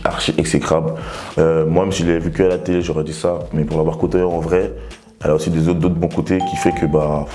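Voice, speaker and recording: A young man in his twenties with a very low, deep voice answers interview questions at a brisk clip. He rambles casually, leaning on fillers and restarts as he tells his side of things. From Togo. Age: 20s. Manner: relaxed, rambling, heavy fillers. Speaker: reality TV contestant.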